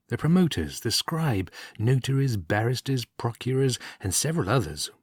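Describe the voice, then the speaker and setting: A man narrating an old comic tale as a storyteller, in a measured, expressive, wry delivery with a husky voice. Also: fairly low pitch, no room sound, clean studio sound. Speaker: audiobook reader.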